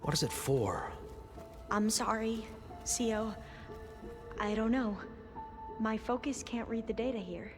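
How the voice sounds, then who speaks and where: A curious young huntress. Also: female voice, fairly high pitch, expressive, slow pace, long pauses. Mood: apologetic. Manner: curious, thoughtful. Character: determined young woman hunter, curious. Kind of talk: video game dialogue. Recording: game audio with reverb and music.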